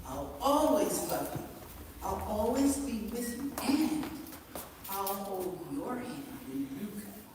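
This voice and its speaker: A woman reciting a poem at a memorial service with emphatic, dramatic, deliberate phrasing. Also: on the high side. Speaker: poet reading their own poem at a memorial service. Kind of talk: expressive spoken-word poetry recitation. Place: large echoing hall at a memorial service. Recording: distant, echoey hall with clear background noise.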